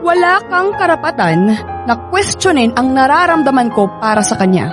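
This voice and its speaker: A woman's voice: high voice, very animated, normal speed. A Filipino woman playing a hurt, defiant young wife in a radio drama, emotional in delivery. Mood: hurt, angry. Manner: emotional, bitter, confrontational. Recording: music behind the voice.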